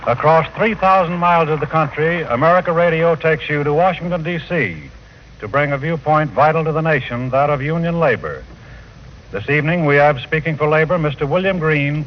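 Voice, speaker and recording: Faint noise sits behind a dull 1940s radio broadcast. A male announcer speaks formally in a fairly high-pitched voice. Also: lively intonation, fairly quick. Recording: muffled, noisy 1940 radio broadcast.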